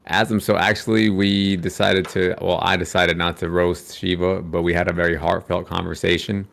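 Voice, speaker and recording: A man's voice: deep voice, little pitch movement, normal speed, creaky, a bit bright. A man guesting on a casual podcast, joking around and plugging his own channel and crypto tips. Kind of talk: casual livestream podcast chat.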